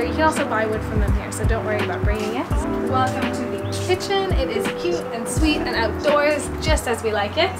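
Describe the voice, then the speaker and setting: A young woman with a slightly high voice chats her way through a cottage tour, keen and talkative, filling her sentences with casual asides. She films on a handheld camera in a half open-air holiday house. Loud music plays right under her voice. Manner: chatty, enthusiastic, lots of "like". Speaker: travel vlogger. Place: holiday cottage, partly outdoors.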